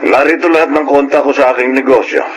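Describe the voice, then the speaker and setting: A man's voice: mid-range voice, fast talker, slight background noise, lightly acted, low-quality recording. A man acting a grateful, generous businessman in a Filipino radio drama, with a courteous, genial manner.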